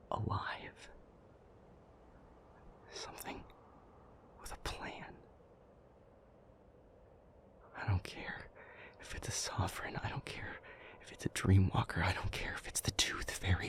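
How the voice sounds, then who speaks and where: A man playing an anguished, devoted demon lover in an intimate audio roleplay, hushed and halting, with a breathy, gravelly voice. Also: sits low. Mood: grave, fearful. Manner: halting, hushed, emotionally heavy delivery.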